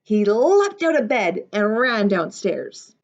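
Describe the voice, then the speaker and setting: A woman reading a picture book aloud to young listeners in an expressive, unhurried way, adding simple explanations in casual asides. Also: mid-range voice, lo-fi sound.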